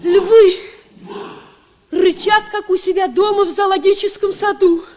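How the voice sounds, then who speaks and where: An earnest, naive schoolboy in an old children's radio play. The voice is high and the delivery takes its time, full of wonder. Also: female voice, steady tone, a little slow, darkish tone, a little hiss or hum. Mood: nervous bravado. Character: schoolboy Petya, sixth-grader, naive, earnest, easily startled.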